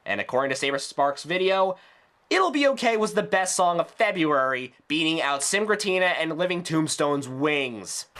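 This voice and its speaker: A man's voice: a little high, expressive, normal speed, bright, forceful tone. A man delivering a scripted ranting review in a sarcastic, exasperated, emphatic way. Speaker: video reviewer.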